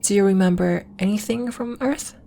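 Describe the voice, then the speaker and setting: A woman playing a gentle, earnest alien healer from the moon in an ASMR roleplay, speaking in a soft, measured, formal and reassuring way. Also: mid-range voice, vocal fry, a little hiss or hum, lightly acted. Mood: calm, guiding. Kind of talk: ASMR roleplay, scripted character acting.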